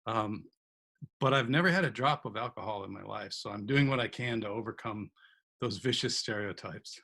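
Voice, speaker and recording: A man's voice: fairly low pitch, normal speed, vocal fry, sharp tone. A man from Wisconsin hosting a show and interviewing a guest in a casual chat, laughing often and restarting phrases. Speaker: show host.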